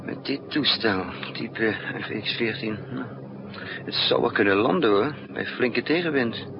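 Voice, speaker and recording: A man's voice: mid-range voice, measured pace, gravelly. An actor playing a resourceful male spy in a 1960s Dutch radio drama, thinking aloud in hesitant, broken phrases. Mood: urgent planning. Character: resourceful male spy narrating his own risky mission. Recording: muffled, noisy 1960s radio recording.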